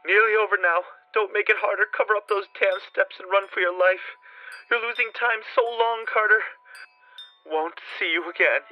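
A man's voice: high-pitched, fairly even intonation, normal speed, muffled recording, voice pushed up, natural voice. A man voicing a desperate, trapped man who pleads and cries out warnings from below. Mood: pleading. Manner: desperate, pleading, rising to shrieks.

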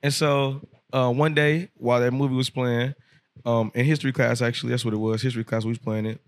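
Dry-voiced man in his twenties, animated storyteller. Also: medium pitch, fast talker, dry recording. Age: 20s. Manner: animated, loose and profane, laughs often. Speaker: rapper guest. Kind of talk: casual podcast storytelling. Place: podcast studio.

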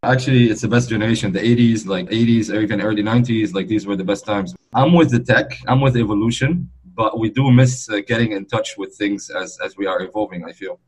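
A man from Lebanon in his forties with a slightly low, husky voice. He talks loosely and warmly in an interview, leaning on "like" and "you know" as he goes. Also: fairly quick, few pauses, rough recording. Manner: easygoing, rambling, frequent "like" and "you know". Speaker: guest DJ. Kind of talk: relaxed conversational interview.

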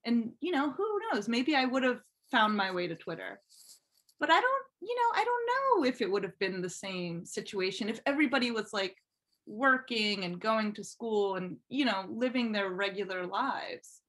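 A woman's voice: a little high, sing-song, moderate pace, fairly bright tone. A woman guest on a podcast, chatting informally and with some self-deprecation about her writing. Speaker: podcast guest, fan fiction writer. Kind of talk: casual interview chat.

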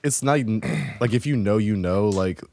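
Very deep-voiced laid-back man. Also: normal speed, a little mellow. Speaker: podcast guest. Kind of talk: casual podcast chat.

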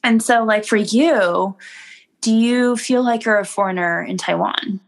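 A woman's voice: medium pitch, unhurried. A woman from the United States in casual conversation, chatty and full of fillers, laughing often.

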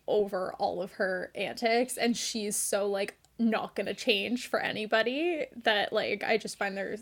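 A woman's voice: mid-range voice, unhurried. A woman in her twenties co-hosting a podcast in casual chat, talking with many "like" fillers and small laughs. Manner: chatty, many "like" fillers, giggly. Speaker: podcast co-host.

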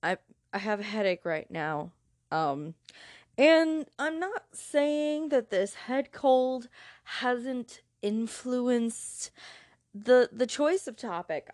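A woman's voice: a little high, a little mellow, dry recording, studio quality. A woman with a head cold, hosting her own podcast in a casual, rambling chat with lots of fillers and restarts. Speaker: podcast host.

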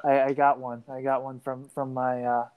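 A man's voice: medium pitch, level tone, moderate pace, clear, resonant tone, narrow, tinny audio. A young man co-hosting a casual podcast, with a dry, joking manner. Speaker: podcast co-host. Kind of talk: casual chat.

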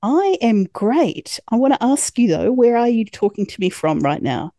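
A woman's voice: fairly high pitch, sing-song, moderate pace, warm tone, dry recording, clean studio sound. A woman who works as a yacht chef, hosting a podcast interview in a friendly, chatty way and laughing often. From Australia.

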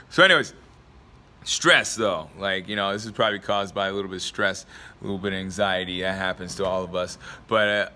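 Slightly raspy young man, bright tone. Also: lowish voice. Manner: rambling, filler-heavy, laughs often. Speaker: motivational vlogger and podcaster. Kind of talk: casual motivational talk to camera.

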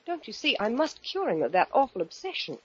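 A woman's voice: fairly high pitch, sing-song, brisk, husky, no room sound. A woman playing a devoted, stubborn wife with earnest, melodramatic pleading in 1940s radio mystery style. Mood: tense, insistent. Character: devoted, stubborn young wife of a dangerous husband. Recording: muffled old-time radio recording.

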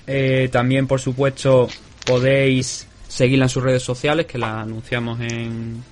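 A man's voice: mid-range voice, little pitch movement, a little slow, a little hiss or hum. A man with a Spanish accent hosting a podcast, talking casually and rambling with many restarts. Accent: Spanish from Spain. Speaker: podcast host. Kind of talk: casual chat. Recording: remote call.

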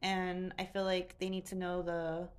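A woman's voice: lowish voice, completely flat delivery, measured pace. A woman interviewing an artist, asking questions a bit hesitantly, heard from farther off the mic.

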